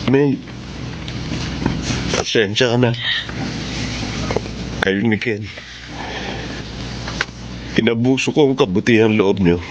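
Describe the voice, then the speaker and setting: An actor playing a hard-drinking married older brother in a Tagalog radio drama. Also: male voice, mid-range voice, slow, pauses often, lo-fi sound, background noise, close to an everyday voice. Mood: weak, remorseful.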